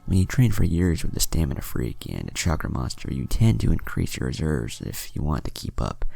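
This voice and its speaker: A man with a deep, gravelly voice reading a scripted story as a narrator, audiobook style. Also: huge pitch swings, brisk, mellow. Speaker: narrator reading fan fiction.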